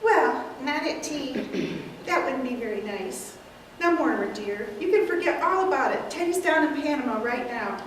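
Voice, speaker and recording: A woman reading the part of a sweet, genteel elderly aunt, gentle and matter-of-fact, in an echoey room far from the mic. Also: high-pitched, lively intonation, moderate pace, a little hiss or hum. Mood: placid.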